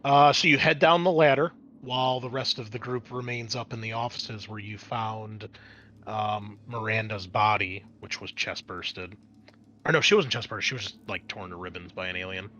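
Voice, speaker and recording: A man's voice: lowish voice, steady tone, moderate pace, pushed and bright, muffled recording, slight background noise. A man acting as game master, describing scenes conversationally for a tabletop roleplaying game.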